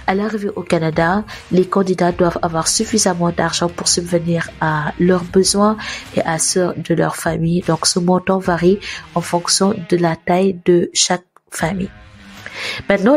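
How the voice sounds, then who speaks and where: A woman with a cold talks through an explainer for her channel's viewers in a slightly lower voice. She hesitates a lot, restarts phrases and corrects herself as she goes. Soft music plays faintly behind her. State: has a cold. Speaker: host of an immigration advice channel. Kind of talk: informal explainer talking to viewers.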